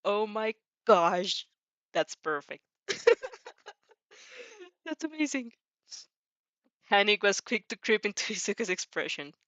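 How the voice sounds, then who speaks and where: A woman's voice: medium pitch, sing-song, slow pace, long pauses, a bit bright, dry recording, dull audio. A woman reading fan fiction as a storyteller with casual reactions, giggly and often stumbling over and rereading phrases.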